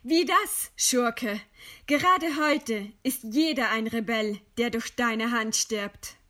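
A woman's voice: fairly high pitch, takes it slowly, pushed and bright. An actress playing a proud, defiant condemned lady in a radio play, with a haughty, formal and composed delivery. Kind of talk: radio play acting, stylised literary dialogue.

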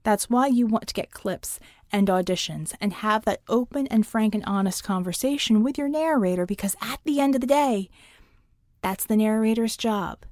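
A woman who works as a narrator, giving instructional advice in a deliberate, emphatic, articulate way on a close mic. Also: medium pitch, a little mellow, dry recording. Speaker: audiobook narrator. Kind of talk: instructional talk to listeners.

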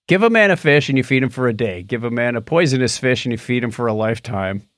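A man's voice: medium pitch, lively intonation, quick pace, a bit bright, no echo, studio quality. A middle-aged man co-hosting a podcast in casual, bantering conversation. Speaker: podcast co-host.

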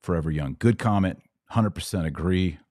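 An American man with a deep, dark-toned voice talks like a podcast host on a live show. He is conversational and opinionated, reading out comments and answering with emphatic repeats. The sound is close and dry, with very little room. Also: normal speed, dry recording.

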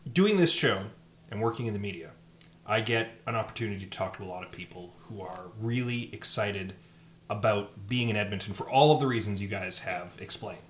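A man's voice: fairly low pitch, fairly bright tone. A man chatting casually as a podcast co-host, recorded with roomy echo. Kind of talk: casual chat. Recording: roomy echo with humming noise.

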